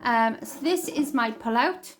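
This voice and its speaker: A woman's voice: fairly high pitch, animated, measured pace. Chatty Welsh woman teaching crafts. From Wales. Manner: chatty, rambling, thinks aloud while working. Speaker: craft tutorial host. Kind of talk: casual craft tutorial.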